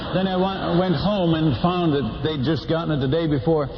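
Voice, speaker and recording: A man's voice: on the high side, normal speed, a crowd in the background. An older man from the Netherlands, a missionary, telling his stories to a church audience in a folksy, humorous way, full of restarts and fillers. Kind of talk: storytelling talk to a church audience. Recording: muffled hall recording with long reverb.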